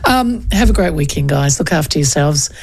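A woman's voice: sits low, expressive, normal speed, vocal fry, a little mellow. A woman hosting a radio show, bantering affectionately with callers. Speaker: radio host. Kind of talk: casual radio talk.

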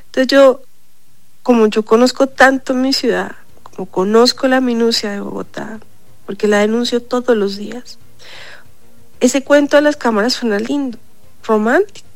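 A woman's voice: a little high, a little mellow, no echo. Emphatic congested woman. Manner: emphatic, insistent, repeats points. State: congested, stuffy. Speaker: city councillor. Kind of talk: radio interview.

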